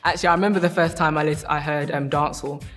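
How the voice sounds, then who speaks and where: A woman's voice: sits low, fairly even intonation, very fast, a bit bright, faint music behind. A British woman giving casual, chatty, self-amused personal anecdotes as an interviewee.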